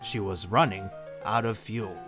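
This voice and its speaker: A man's voice: fairly low pitch, measured pace, music in the background. A man narrating a scripted children's story as the narrator of an audio drama.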